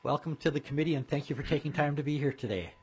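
A man's voice: fairly high pitch, rapid-fire, slightly rough, lo-fi sound. A man moderating a formal committee meeting as its chair, speaking courteously.

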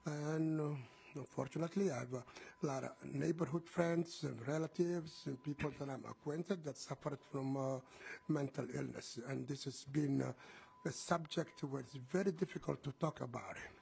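A middle-aged man with a Somali accent giving public testimony in deliberate, hesitant phrases. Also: fairly high pitch, vocal fry, darkish tone, slightly rough, low-quality recording. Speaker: program manager giving testimony. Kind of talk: public testimony, spoken semi-freely.

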